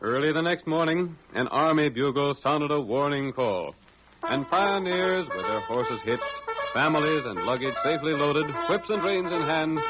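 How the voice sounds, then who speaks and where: A man's voice: on the high side, expressive, normal speed, natural voice, rough recording. Declamatory radio western narrator, a man. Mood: suspenseful. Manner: declamatory, suspenseful. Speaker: announcer and narrator. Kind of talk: 1940s-style radio scripted narration. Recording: vintage radio recording. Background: loud dramatic music.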